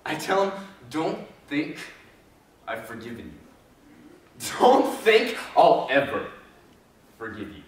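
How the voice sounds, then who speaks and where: A man's voice: fairly high pitch, big pitch swings, slow, pauses often. A man playing a bitter, commanding elephant trainer with grim duty, intense and emphatic in a stage drama. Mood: bitter, anguished. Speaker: actor in a student readers theater group. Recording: very echoey hall, distant mic, faint noise.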